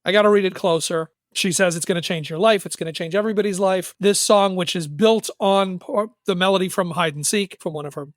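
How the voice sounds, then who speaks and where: A man's voice: high voice, brisk, few pauses, no room sound. A man co-hosting a music podcast, talking in a casual, wry and enthusiastic conversational way. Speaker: podcast co-host and music reviewer.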